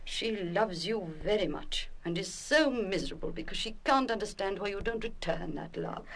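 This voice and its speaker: A woman playing a perceptive, frank and kindly headmistress in an audio radio play, speaking with measured, emphatic authority. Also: mid-range voice, animated. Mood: frank, sympathetic. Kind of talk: full-cast audio radio play acting.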